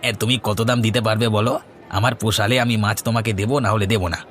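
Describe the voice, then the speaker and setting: Man playing a low-voiced sly village fisherman. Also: steady tone, fast, a bit bright, a little hiss or hum, lightly acted. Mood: curt, stubborn. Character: sly, grumpy village fisherman selling fish. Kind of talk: cartoon voice acting.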